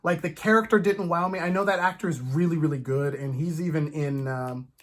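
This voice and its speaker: A man chatting casually about films as a host, in an animated, rambling fan's way. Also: fairly high pitch, a little fast.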